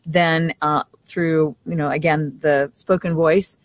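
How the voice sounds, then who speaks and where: A middle-aged woman co-hosting a casual podcast, explaining things haltingly and rephrasing as she goes, speaking outdoors into a shared desktop microphone. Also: sits low, fairly even intonation, no room sound, rough recording. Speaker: podcast co-host. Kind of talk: casual conversational podcast talk. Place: outdoors in a leafy garden. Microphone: shared desktop condenser microphone.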